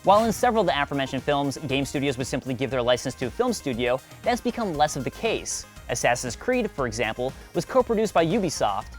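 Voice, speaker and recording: A man's voice: mid-range voice, big pitch swings, quick pace, hardly any pauses, faint music behind. A man hosting a video essay, reading scripted narration with wry, conversational asides. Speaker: host of a video essay series.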